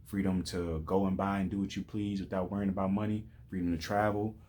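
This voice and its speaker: A man's voice: low voice, little pitch movement, a little fast, darkish tone. A man in his late twenties giving life advice in a loose, rambling monologue, with many restarts and "you know what I'm saying". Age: 20s. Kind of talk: casual motivational monologue.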